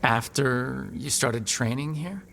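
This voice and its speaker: A man's voice: mid-range voice, slow, vocal fry, a bit bright, a little hiss or hum. An actor playing a plain-spoken male adventurer in a fantasy video game, talking in a casual, wry way. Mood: earnest.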